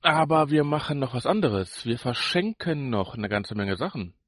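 A man's voice: mid-range voice, animated, normal speed, a bit bright. A man co-hosting a podcast in casual banter, with a teasing, jokey manner. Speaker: podcast co-host.

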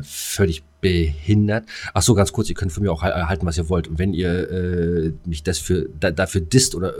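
A man co-hosts a casual podcast. He rants in crude, sweary slang. His delivery is agitated and halting, full of repeated starts. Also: deep voice, a little mellow. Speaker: podcast co-host. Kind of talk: casual ranting conversation.

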